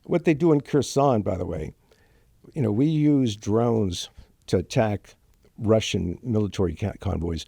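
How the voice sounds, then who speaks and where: An older man, born in Germany to Ukrainian parents with Ukrainian as his first language, telling his family story in a halting, emotional way with many fillers and restarts. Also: fairly low pitch, lively intonation, vocal fry, dark tone, breathy edge, no room sound, clean studio sound. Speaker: guest. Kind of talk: interview, personal story.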